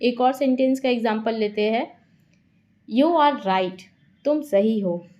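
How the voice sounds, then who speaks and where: A woman teaching English vocabulary in Hindi, repeating each word and example sentence slowly to explain it. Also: on the high side, dark tone. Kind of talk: slow explanatory lesson.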